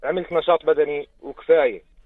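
A man's voice: a little high, a little fast, no room sound, phone-quality sound. A man with a Jordanian accent chatting casually on the radio, explaining his opinions.

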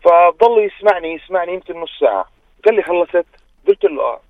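A man in his thirties with a Jordanian accent calls in and briskly tells an anecdote about his engagement. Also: sits high, animated, no echo. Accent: Jordanian Arabic. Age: 30s. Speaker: caller. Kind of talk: phone-in personal story. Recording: tinny phone line.

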